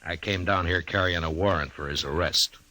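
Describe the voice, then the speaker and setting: An actor playing a stoic, weary frontier U.S. marshal, an American with halting, plain-spoken delivery in an old-time radio western. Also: male voice, sits low, sharp tone. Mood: grave, resolute. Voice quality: gravelly. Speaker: radio drama lead actor.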